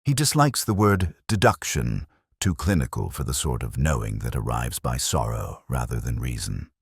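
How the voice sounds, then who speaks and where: Male actor as melancholy detective inspector, very deep voice, measured reflective audio drama narration, dry close sound. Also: lively intonation, creaky, husky, no room sound, heavily acted. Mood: somber, resigned. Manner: measured, literary, brooding delivery. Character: weary, melancholy, razor-minded male police inspector, reflective.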